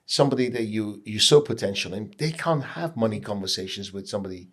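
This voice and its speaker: A man's voice: lowish voice, expressive, fast. A man in his late fifties hosting a conversational interview, probing with short follow-up questions and personal asides. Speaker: podcast host, interviewer.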